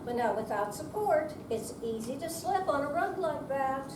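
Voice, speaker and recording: A woman's voice: sits high, lively intonation, moderate pace. A woman reading the part of an earnest, instructive home-safety visitor in a readers theater performance. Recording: roomy, distant, clearly noisy hall recording.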